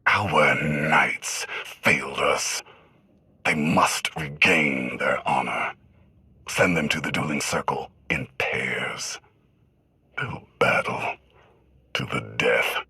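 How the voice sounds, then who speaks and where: Very deep, raspy male tyrant. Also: sharp tone, no room sound, exaggerated voice. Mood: cold anger. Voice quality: harsh, raspy. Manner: slow, terse, commanding. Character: cold, menacing male tyrant commanding knights to fight to the death.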